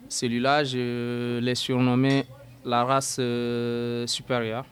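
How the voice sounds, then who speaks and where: A young man from Sudan in his twenties giving an earnest personal testimony as an interview guest, halting and searching for words, turning forceful when indignant. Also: medium pitch, completely flat delivery. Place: at a housewarming gathering.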